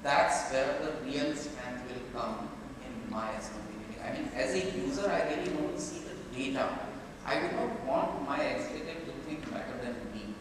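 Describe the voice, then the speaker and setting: A man's voice: mid-range voice, normal speed. Indian man speaking in an echoey conference hall. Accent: Indian English. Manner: conversational, with frequent "you know" and restarts. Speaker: keynote speaker. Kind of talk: conference keynote talk. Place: large conference hall on stage. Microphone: lapel mic, far camera. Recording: echoey hall, from far off, audible room noise.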